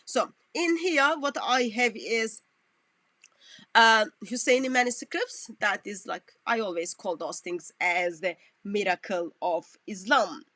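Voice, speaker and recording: A woman commentator, not a native English speaker, talking through a clip in halting, self-correcting phrases. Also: medium pitch, sharp tone, no echo. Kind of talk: reaction commentary on a played clip.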